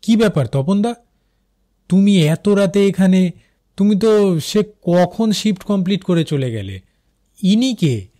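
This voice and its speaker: A man playing an uneasy night-shift railway worker, narrating his own horror story with suspense in an animated cartoon. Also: high-pitched, slow pace, long pauses. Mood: anxious. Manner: suspenseful, with fearful repeated phrases. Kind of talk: first-person dramatic horror story narration.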